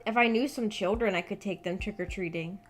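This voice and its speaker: A young American woman with a mid-range voice chats to her livestream viewers over clearly audible background music. She hosts in a casual, hesitant way, thinking aloud and trailing off as she works things out. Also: medium pitch, a little fast. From United States. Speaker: live streamer, visual novel book club host.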